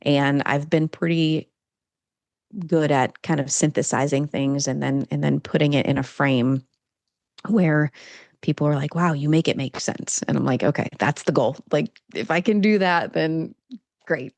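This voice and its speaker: A woman guest on a podcast, an author and coach, answering eagerly and explaining things in quick lists. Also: deep voice, darkish tone, no room sound. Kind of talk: casual interview chat.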